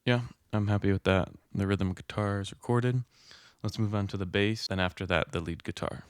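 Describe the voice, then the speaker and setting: Very deep, even-toned young man. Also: normal speed, soft background music. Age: 20s. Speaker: musician and host of a home recording video. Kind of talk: casual explanatory vlog talk. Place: small home studio room, indoors. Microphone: close microphone, handheld or on a stand.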